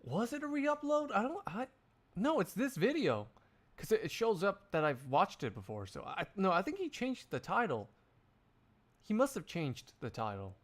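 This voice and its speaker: A man's voice: high voice, very animated, moderate pace. A man chatting casually on a livestream in a rambling way, swearing here and there. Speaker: streamer doing a one-man live fan dub.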